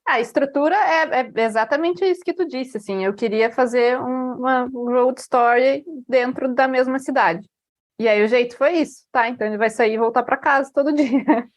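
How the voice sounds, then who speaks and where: A woman's voice: high voice, moderate pace, no room sound. A woman novelist from southern Brazil with a Porto Alegre accent, chatting casually in an interview, telling stories with lots of fillers and easy laughter. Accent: Porto Alegre Brazilian Portuguese. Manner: chatty storyteller, laughs easily, many fillers. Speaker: novelist guest.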